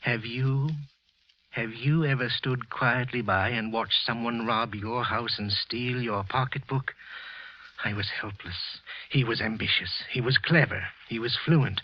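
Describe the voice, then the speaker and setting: An actor playing a bitter office clerk, narrating a halting confession in 1940s radio style. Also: male voice, medium pitch, close to an everyday voice. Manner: halting, tense confessional narration with repeated words. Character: bitter, trembling clerk consumed by quiet hatred. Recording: muffled, husky vintage radio recording.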